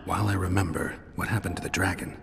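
An actor playing a gruff, hardened, sardonic monster hunter held prisoner in a video game, with a dry deadpan delivery. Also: male voice, deep voice, fast, big-room sound, noisy background. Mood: dry, wary. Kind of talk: dramatic video game acting.

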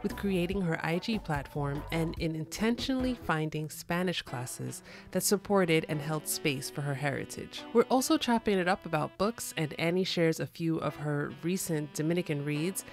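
Low, gravelly-voiced enthusiastic woman host. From New York City. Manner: upbeat, enthusiastic. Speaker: podcast host. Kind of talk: podcast intro and interview.

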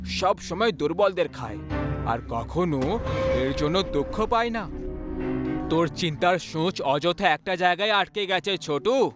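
A man's voice: high voice, expressive, measured pace. Man acting a cold, domineering gangster brother. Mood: dismissive. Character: ruthless greedy land-grabbing elder brother. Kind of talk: dramatized horror story acting. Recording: music behind the voice.

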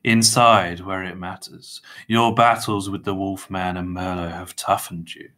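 A man voicing a menacing, falsely jovial little villain who speaks with drawn-out mocking politeness in an audiobook story. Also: deep voice, flat delivery, pushed and bright. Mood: gloating.

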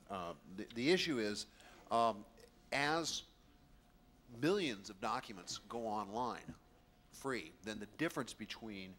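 Low-voiced man, halting panel remarks. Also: a bit bright, a little hiss or hum. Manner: halting, many fillers. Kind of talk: panel discussion. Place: hotel conference room. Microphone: table mic on a stand.

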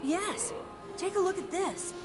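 A shy boy mage, high gentle voice, game cutscene, loud score behind. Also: unhurried. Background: loud game music.

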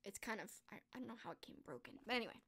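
A young woman streamer with a hoarse, croaky voice she is losing, chatting enthusiastically about favorite books in a small bedroom into a close studio mic. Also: mid-range voice, sing-song, very fast, fairly bright tone. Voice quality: strained, croaky. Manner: chatty, enthusiastic, frequent "like" fillers. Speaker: streamer reviewing books and movies. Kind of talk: casual chat. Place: small bedroom with posters, indoors. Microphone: large studio microphone close in front.

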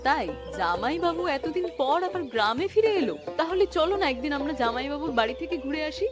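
High, lively homely village housewife played by a woman. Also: quick pace, loud music behind the voice. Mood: homely, mildly chiding. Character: caring village Bengali wife and mother, mildly scolding. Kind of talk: cartoon story dialogue.

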